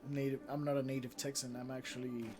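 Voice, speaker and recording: A man's voice: mid-range voice, flat delivery, quick pace. Man chatting over game music. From Mexico. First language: Spanish. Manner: chatty, reads out viewer comments, frequent fillers and restarts. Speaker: live streamer and channel moderator. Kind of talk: casual live-stream chat while playing a game. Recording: game music playing loudly underneath.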